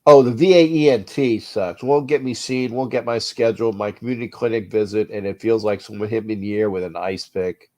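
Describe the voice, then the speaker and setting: A man's voice: on the low side, steady tone, fairly quick, few pauses, warm tone. A man hosting a sports talk livestream, stuffy and nasal from congestion, ranting bluntly and reading out chat messages. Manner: frustrated, blunt, repeats himself, reads chat aloud. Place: cold indoor room.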